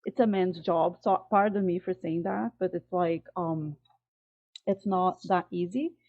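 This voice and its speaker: A woman speaks hesitantly, full of fillers and false starts. Her voice sits fairly low. The audio is thin and phone-like, dry as if close to the mic. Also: unhurried, no echo. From Brazil. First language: Portuguese. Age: 40s. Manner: hesitant, many fillers and restarts. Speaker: workshop participant. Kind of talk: personal sharing in group call. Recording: tinny phone-like call audio.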